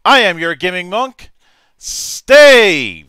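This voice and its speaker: A man hosts an online show with a bright, smooth, resonant voice. He speaks on a dry close microphone and builds his sign-off catchphrases up with theatrical emphasis. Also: high voice, sing-song, slow, dry recording. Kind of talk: casual show host sign-off.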